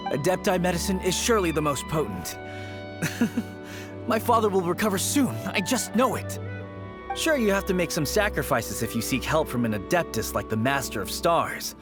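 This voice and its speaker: Trusting, hopeful follower. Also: male voice, on the high side. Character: earnest young man devoted to a fake sage.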